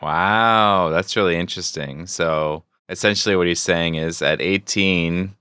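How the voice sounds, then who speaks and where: Male podcast co-host, very low voice with a crisp, bright edge, casual and conversational. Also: a little slow, no room sound, studio quality. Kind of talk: casual reactive chat.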